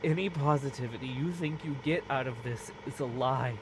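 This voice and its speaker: A man's voice: mid-range voice, a little fast, background noise, lightly acted. A man playing a grave, earnest figure who gives an emphatic, solemn warning in a horror audio drama. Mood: solemn, urgent.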